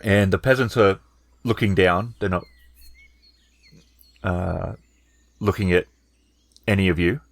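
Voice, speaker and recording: Slow-talking low-voiced man, halting delivery. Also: gravelly, dry recording. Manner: halting, hesitant phrasing. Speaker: game master. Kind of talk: improvised tabletop game narration.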